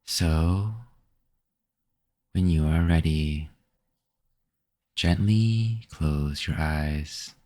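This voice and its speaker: A man leading a scripted guided meditation in a calm, gentle, measured manner with pauses between phrases. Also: extremely low pitch.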